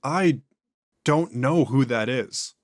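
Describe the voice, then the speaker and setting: A man's voice: medium pitch, takes it slowly, dry recording, clean studio sound, close to an everyday voice. Earnest, persistent interrogator, voiced by a man in a horror audio drama. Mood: alarmed. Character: earnest male interrogator at a secure facility.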